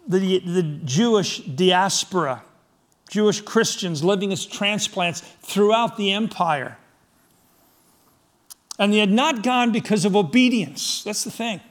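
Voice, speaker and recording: A middle-aged man from Scotland preaching a sermon to his congregation, firing rhetorical questions, swinging from loud emphasis to soft, low asides. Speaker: pastor.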